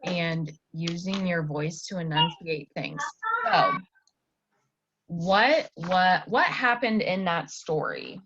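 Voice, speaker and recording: A woman teacher speaks at a slow pace in a lowish voice, guiding a pupil through a reading lesson. She explains things simply and with encouragement. The sound is slightly dull. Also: lively intonation, noisy background. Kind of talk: instructional talk and story read-aloud.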